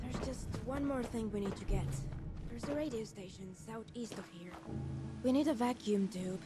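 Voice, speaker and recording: A woman's voice: fairly high pitch, normal speed, natural voice. A woman playing a practical, guarded adventuring companion in a video game, speaking casually and matter-of-factly. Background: music.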